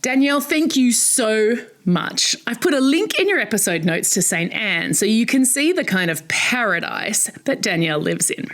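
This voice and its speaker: A middle-aged woman with an Australian accent, hosting a scripted educational narration for kids in a bright, playful manner. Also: a little high, moderate pace. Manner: bright, playful, animated storyteller. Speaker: host.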